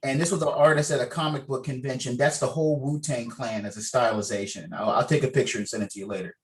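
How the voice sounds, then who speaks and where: A man with a middling pitch talks informally over a video call. He explains things in loose, colloquial phrasing, like an organiser sorting out a group read. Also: steady tone, quick pace. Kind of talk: casual meeting chat. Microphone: video call microphone.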